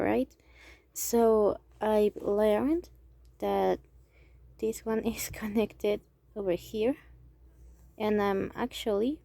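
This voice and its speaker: A woman's voice: mid-range voice, creaky, no room sound. A young woman from Mexico with a Mexican Spanish accent, English her second language, talking casually and hesitantly through a drawing tutorial, sometimes dropping to a near whisper. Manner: hesitant, halting, thinking aloud; soft-spoken, drops to near whisper. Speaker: amateur artist.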